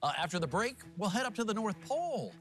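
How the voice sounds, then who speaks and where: A man's voice: high-pitched, sing-song, fast talker, fairly bright tone, soft background music. A man working as a news anchor, presenting headlines and slipping into casual, jokey banter. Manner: jokey, conversational.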